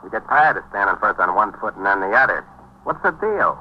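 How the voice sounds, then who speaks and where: A man's voice: on the low side, very animated, brisk, slightly rough. A man playing an impatient, wisecracking American newspaper reporter, badgering and sardonic, in a 1950s radio police drama. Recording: dull, hissy 1950s radio recording.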